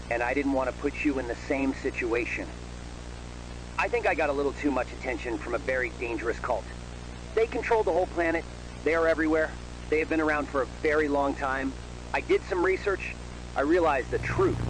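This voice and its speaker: A man's voice: medium pitch, natural voice. A young man playing a frightened, hunted son recording a last farewell message in an amateur horror video. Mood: grave, sorrowful. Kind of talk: analog horror voice acting, taped farewell message. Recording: noisy tape with hum.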